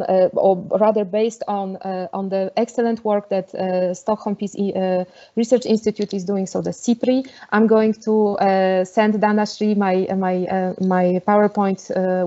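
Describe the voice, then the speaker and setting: A woman from Poland with a Polish accent, giving an online lecture over a video call, often pausing with fillers and restarts. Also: mid-range voice, level tone, warm tone, dull audio. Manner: hesitant, many fillers and restarts. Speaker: webinar speaker.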